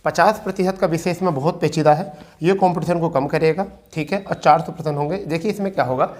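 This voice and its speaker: A man's voice: a little high, fast. A man teaching exam students in an informal explainer style, repeating points and often checking that listeners follow. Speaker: exam-prep teacher and presenter.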